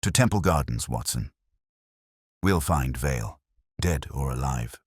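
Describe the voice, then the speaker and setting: Keen detective, played by a male narrator, very deep, animated, formal and precise, storybook mystery. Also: unhurried, slightly rough, dry recording, clean studio sound, exaggerated voice. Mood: triumphant, resolute.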